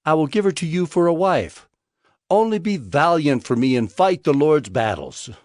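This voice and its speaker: Casting: male actor as jealous, brooding biblical king; slow, deliberate, very animated range, music underneath. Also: fairly high pitch, no echo, clean studio sound. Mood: scheming. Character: scheming, jealous, brooding king of ancient Israel. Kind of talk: dramatized scripture acting.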